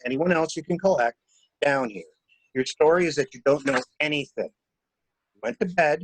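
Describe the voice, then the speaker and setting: A man reading the part of a cool, scheming traveler in a murder mystery drama, brisk and instructing with a wry, playful streak. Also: on the high side, no echo, rough recording. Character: cool, scheming male traveler taking charge of a crime cover-up.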